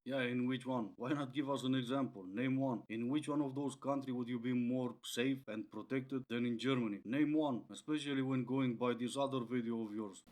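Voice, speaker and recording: A man's voice: mid-range voice, steady tone, a little fast, few pauses, a little mellow, a little hiss or hum. A man hosting a reaction commentary channel, talking in a sarcastic, mocking way.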